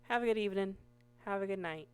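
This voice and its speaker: A woman with a hoarse voice giving casual, meandering commentary over her Minecraft gameplay, restarting sentences often. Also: medium pitch. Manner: slow, meandering, with many restarts and fillers. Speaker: Minecraft YouTuber.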